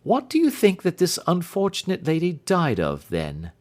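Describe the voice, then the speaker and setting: A man voicing a keen gentleman detective in a storybook reading, brisk, inquisitive and authoritative. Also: fairly high pitch, huge pitch swings, pitched above the performer's own voice. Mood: probing.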